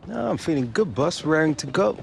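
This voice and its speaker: An actor playing a confident, eager young football player in a game cutscene, talking in an informal, matey way. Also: male voice, a little high, sing-song, brisk, vocal fry. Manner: informal, matey, self-assured. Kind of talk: video game cutscene acting.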